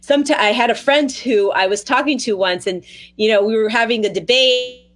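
A woman's voice: fairly high pitch, a little fast. Enthusiastic woman hosting a podcast. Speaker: podcast host. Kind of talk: casual conversational hosting.

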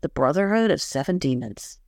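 A woman's voice: deep voice, expressive, a little fast, darkish tone, no room sound, clean studio sound. A woman co-hosting a podcast, chatting casually and recapping a TV episode, laughing often and acting out scenes. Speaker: podcast co-host.

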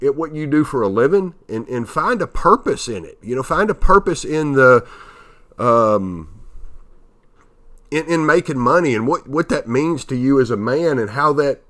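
A man in his fifties hosting a motivational podcast, a bit nasal from allergies, talking in a halting way with many fillers and restarts. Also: medium pitch, a bit bright. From Tennessee. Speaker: podcast host.

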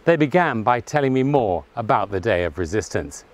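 A man hosting a climate justice show, narrating an introduction in a measured way and interviewing activists. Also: lowish voice, sing-song. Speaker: podcast host and interviewer.

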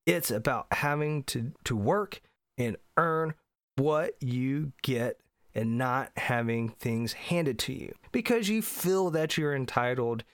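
A man's voice: mid-range voice, dry recording, clean studio sound. A middle-aged man from Indiana, congested from allergies, hosting a solo podcast in an earnest, emphatic way. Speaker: podcast host.

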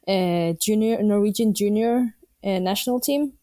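A young woman from Greenland, a native Danish speaker, answering interview questions in English with many pauses and restarts. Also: mid-range voice, dark tone, no echo, clean studio sound. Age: young adult. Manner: hesitant, many fillers and restarts. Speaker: guest athlete. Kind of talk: casual interview.

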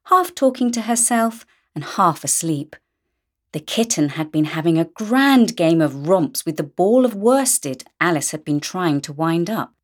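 A woman reading a children's story aloud as scripted narration. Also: on the low side. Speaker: audiobook reader.

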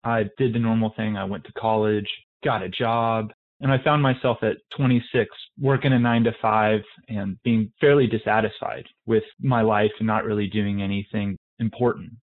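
A man from Colorado, a soldier guest answering interview questions in a calm, measured way. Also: fairly low pitch. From Colorado, United States. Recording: tinny phone-like remote line.

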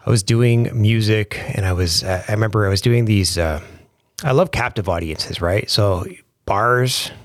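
A man's voice: on the low side, moderate pace, dry recording, clean studio sound. A Canadian man in his thirties, a comedian and former country singer, chatting loosely and joking in an interview. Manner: jokey, rambling, laughs often. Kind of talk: casual interview chat.

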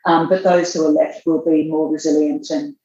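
A woman, a magazine editor answering interview questions in a measured way, thinking aloud as she goes. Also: low voice, flat delivery, dark tone, slight background noise. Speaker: fashion magazine editor, guest. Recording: roomy, dull lo-fi sound.

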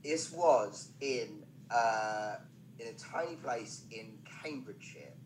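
A male stand-up comedian chats as a podcast guest, spinning anecdotes in a self-deprecating way. His voice sits at an average pitch for a man, and he drops in wry asides as he goes. Some background noise runs under the recording. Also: slow, rough recording. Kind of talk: conversational comic anecdote telling.

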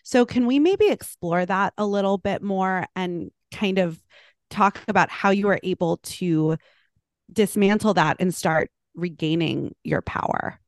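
A woman hosting a podcast, asking a guest questions in a gentle, appreciative way. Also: mid-range voice, measured pace, dry recording, studio quality. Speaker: podcast host. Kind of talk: interview questions.

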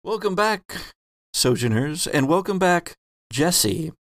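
Gravelly male podcast host. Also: on the high side, big pitch swings, slow, a bit bright, no room sound, clean studio sound. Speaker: host and game master. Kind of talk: podcast intro and tabletop game hosting.

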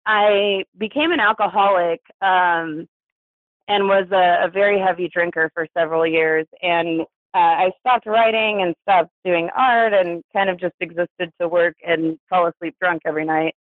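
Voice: mid-range voice, fairly even intonation, measured pace, no echo. An American in their thirties from New Mexico, telling personal stories as an interview guest in a candid, hesitant way. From New Mexico, United States. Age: 30s. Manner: hesitant, chuckles through hard subjects. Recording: tinny phone-like radio sound.

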